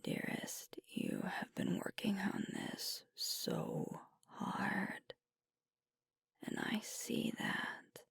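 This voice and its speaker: A woman playing a sweet, protective, gently firm werewolf girlfriend in an ASMR roleplay, soothing and coaxing in a breathy whisper. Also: medium pitch, wildly swooping pitch, no echo, clean studio sound, heavily acted. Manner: soothing, coaxing, reassuring, deliberate pauses.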